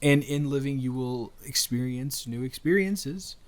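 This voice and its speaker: A man playing a friendly, flirty stranger in an improvised audio roleplay, talking in a rambling, hesitant, earnest way with gentle teasing. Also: medium pitch, unhurried, close to an everyday voice. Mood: earnest, reassuring. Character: cute friendly male stranger at pumpkin patch, wholesome, flirty, reassuring. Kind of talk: improvised intimate audio roleplay spoken to the listener.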